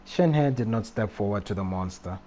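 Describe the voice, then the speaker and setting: A man live streaming a video game, reading text aloud and commenting casually in a halting way. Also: fairly low pitch, expressive, mellow. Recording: faint background noise, game ambience.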